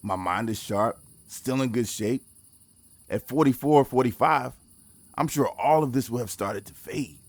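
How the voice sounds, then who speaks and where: A man playing a guarded, pragmatic mission leader in his forties in a naturalistic audio drama, speaking in a measured, reflective way. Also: mid-range voice, no room sound.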